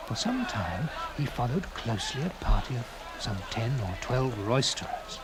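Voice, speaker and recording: Over clearly audible background noise and ambience, a man narrates a literary tale. His voice sits at a medium pitch, and he tells it dramatically in the first person, with theatrical, deliberate phrasing that swells for emphasis. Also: very animated. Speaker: narrator reading a classic short story.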